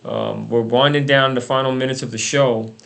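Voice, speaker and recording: A man's voice: mid-range voice, steady tone, normal speed, creaky, fairly bright tone. A man hosting a conversational radio interview show, repeating words and phrases for emphasis. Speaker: radio talk show host.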